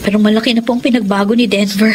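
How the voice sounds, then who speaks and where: A woman's voice: medium pitch, steady tone, very fast, slight background noise, close to an everyday voice. A woman with a Filipino accent acting a hurt, suspicious wife in a radio drama. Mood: worried pleading. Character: betrayed wife, married eight years, hurt and suspicious. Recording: loud music bed under the voice.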